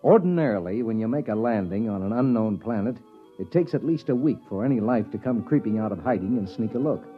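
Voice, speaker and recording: A man's voice: lowish voice, lively intonation, a little fast, soft background music, lightly acted. An actor playing a wry, matter-of-fact space surveyor who tells his own story drily in a 1950s radio drama. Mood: wry, vivid. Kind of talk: 1950s radio drama first-person narration. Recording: dull vintage radio recording.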